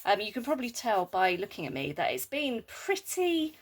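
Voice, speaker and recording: A woman with a hoarse, nearly lost voice from a sore throat, chatting casually in a meandering vlog and reading moon guidebooks aloud. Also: mid-range voice, sing-song, normal speed. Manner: chatty, meandering, with frequent fillers and asides. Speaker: Reiki practitioner and moon vlogger.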